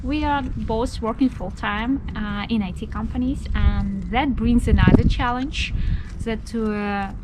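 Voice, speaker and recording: Slow-talking Ukrainian woman vlogger. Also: a little high. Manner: laughs often, unhurried. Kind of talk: casual chat. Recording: loud background noise.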